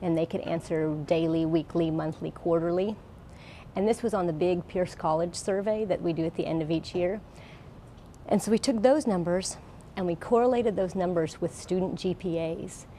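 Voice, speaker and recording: A woman's voice: fairly low pitch, normal speed, mellow, a little hiss or hum. A woman speaking as a college librarian, giving an informative interview talk.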